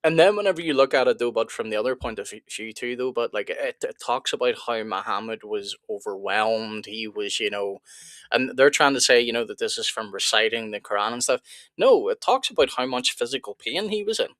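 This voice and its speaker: A man's voice: mid-range voice, brisk, fairly bright tone, dry recording. A man hosting a live reaction stream, arguing his points in casual chat with frequent restarts. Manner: argumentative, restarts and repeats words. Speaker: apologetics channel host.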